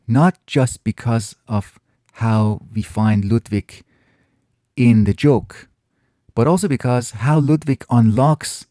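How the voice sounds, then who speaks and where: A man whose first language is Farsi, hosting a casual Q&A and answering viewer questions in a thoughtful way, often pausing and restarting his phrases. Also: mid-range voice, mellow, no echo, clean studio sound. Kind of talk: casual reflective Q&A monologue.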